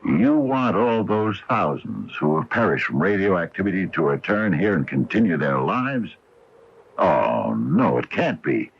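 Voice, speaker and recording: An actor playing an earnest, stubborn, plain-spoken small-town store owner in a radio mystery drama. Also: male voice, low voice, sing-song, unhurried, vocal fry, breathy edge, exaggerated voice. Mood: grave, defiant. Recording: dull lo-fi 1980 radio broadcast.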